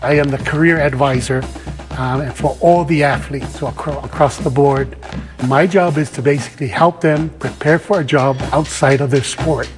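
A man's voice: on the high side, fairly even intonation, normal speed. A man from Samoa who works as a career advisor talks about his work in an earnest, avuncular way. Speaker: career advisor for college athletes. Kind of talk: interview-style personal talk. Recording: music under the voice. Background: drum-heavy music.

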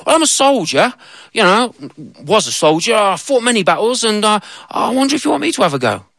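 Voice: mid-range voice, very animated, fairly quick, fairly bright tone, dry recording, studio quality, pitched above the performer's own voice. A storyteller voicing a courteous, slightly boastful retired soldier. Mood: proud. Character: retired soldier, proud gentleman.

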